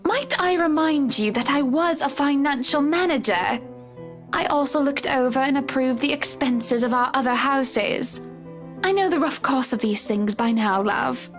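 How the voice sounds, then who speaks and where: A woman's voice: high voice, unhurried, faint music behind. A woman playing a chatty, self-assured wealthy wife in a dramatic visual novel, with a breezy, assertive manner. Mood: defensive, assertive. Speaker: game character.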